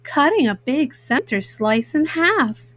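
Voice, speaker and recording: A woman's voice: high-pitched, very animated, slow, a bit bright. A woman storyteller narrating a children's storybook.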